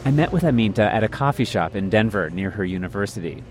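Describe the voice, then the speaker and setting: A man hosting a public radio program, reading scripted reports and interviewing guests in a measured way. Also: on the low side, animated, crowd noise. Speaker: radio host. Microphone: studio microphone.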